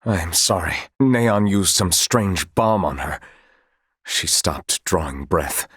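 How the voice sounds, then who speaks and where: An actor playing an earnest, guilt-ridden young knight in a fantasy video game, speaking in formal, archaic courtly phrases. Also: male voice, sits low, expressive, slow pace, fairly bright tone, breathy edge, no echo, studio quality, exaggerated voice. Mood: grieving, remorseful. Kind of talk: dramatic fantasy game voice acting.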